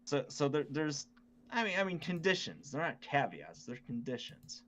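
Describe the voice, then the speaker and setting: A man's voice: on the high side, sing-song, moderate pace, a bit bright, dull audio, a little hiss or hum. A man co-hosting a casual gaming podcast, chatting conversationally. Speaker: podcast co-host.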